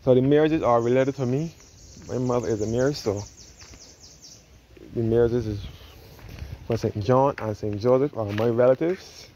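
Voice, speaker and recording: A man's voice: medium pitch, unhurried, long pauses, dark tone. Man guiding a tour, lo-fi outdoor sound. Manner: repeats and restates phrases as he explains. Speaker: travel vlogger giving a walking tour. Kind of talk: informal guided tour narration. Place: outdoors in a churchyard on a cliff. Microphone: handheld phone camera, held by the speaker. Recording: dull lo-fi outdoor phone audio, background noise.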